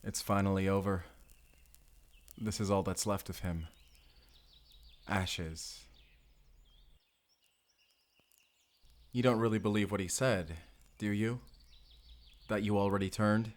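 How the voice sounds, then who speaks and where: Man as low-voiced Spanish vampire slayer. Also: steady tone, unhurried, pauses often, lightly acted. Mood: tender. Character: young Spanish male vampire slayer, earnest, tender romantic hero. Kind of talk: cinematic audio roleplay acting.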